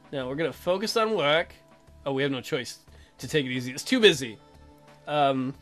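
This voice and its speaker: A man with a fairly high voice gives casual let's play commentary. He is irreverent and thinks aloud as he goes. Game music plays behind him. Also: a bit bright.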